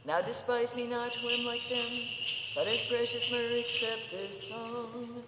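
A woman chants a religious prayer as a liturgical reader, in a solemn, measured, intoned delivery. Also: a little high, fairly even intonation, heavy background noise. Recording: echoey hall with long reverb.

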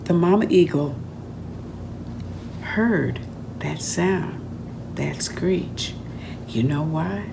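Older woman, expressive storybook reading, deliberate delivery, lowish pitch, audible background noise. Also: creaky. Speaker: author reading aloud from own book. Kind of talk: expressive storybook read-aloud. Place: room at home, indoors.

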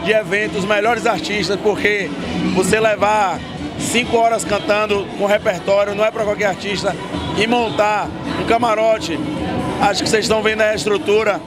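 A man in his thirties talks proudly and emphatically into a handheld mic. His voice runs high, and loud music and a roaring crowd surround him at a night-time carnival. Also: steady tone, normal speed. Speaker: carnival guest. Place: outdoor carnival box at night. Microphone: handheld mic held by interviewer.

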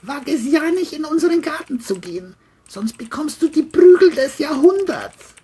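A woman's voice: sits high, sing-song, moderate pace, dark tone, slight background noise, voice pushed up. A woman voicing an abusive, sneering man, a mean bully with a menacing, mocking manner, in an audio crime drama. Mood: menacing, insulting.

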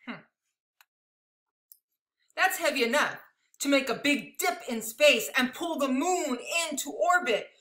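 High, bright-voiced woman reading to children. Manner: expressive, addressing young listeners directly. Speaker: elementary school teacher reading a picture book aloud. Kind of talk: children's storybook read-aloud with chatty asides. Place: living room at home, indoors. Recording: echoey home room.

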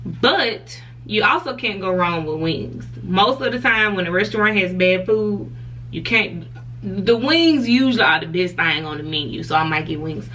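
A woman's voice: medium pitch, expressive, moderate pace, pushed and bright, far from the mic, slight background noise, low-quality recording. A young woman, talking frankly to camera in a hotel room, laughing often. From Southern US. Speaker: vlogger. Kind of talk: casual chat to camera.

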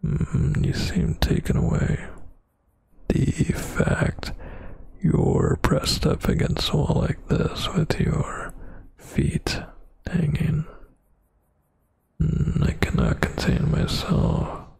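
A man murmurs very slowly in an intimate ASMR roleplay as a dominant, teasing roommate. His voice is breathy and half-whispered, thick with gravelly fry. The sound is close and dry. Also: on the high side, huge pitch swings, darkish tone, no echo. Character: huge, dominant, flirtatious male roommate. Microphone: close microphone.

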